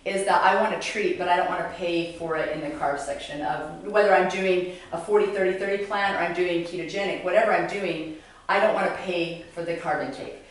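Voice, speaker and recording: A woman's voice: on the low side, steady tone, brisk. Female interviewer, chatty and enthusiastic, mid-range voice, recorded off-mic in a very reverberant room. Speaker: host interviewing guests. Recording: very echoey room, distant mic.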